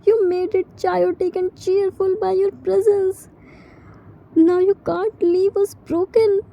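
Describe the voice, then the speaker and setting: A woman plays a grieving young man in a fan-fiction drama. The voice is extremely high and very muted in tone. Lines come slowly, in halting, scolding and pleading phrases. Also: little pitch movement, vocal fry, mellow, exaggerated voice, poor audio. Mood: pleading, anguished.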